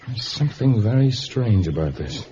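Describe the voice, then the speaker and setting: An actor playing an earnest, gentle jungle hero with a courteous, halting manner in a vintage radio drama. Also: male voice, fairly low pitch, very animated. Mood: puzzled, concerned. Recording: dull, hissy vintage radio recording.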